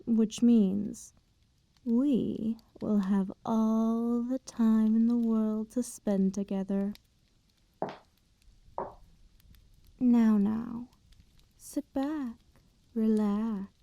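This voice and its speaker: A woman performs a highborn female vampire in an intimate ASMR roleplay. Her voice is fairly high yet very dark and soft, moving at a languid, slow pace. She sounds condescendingly tender and possessive, with teasing menace under the hush. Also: steady tone, dry recording, lo-fi sound. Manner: slow, hushed, languid, veiled threats delivered sweetly.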